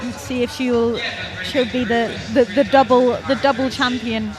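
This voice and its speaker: A woman's voice: fairly high pitch, fairly even intonation, moderate pace. A young woman from North Wales giving chatty, enthusiastic live commentary on a climbing competition, often laughing at her own slips.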